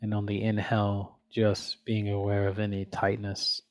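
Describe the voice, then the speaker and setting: A man leading a guided meditation as a teacher, gently and unhurriedly, leaving frequent pauses between phrases. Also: on the low side, completely flat delivery.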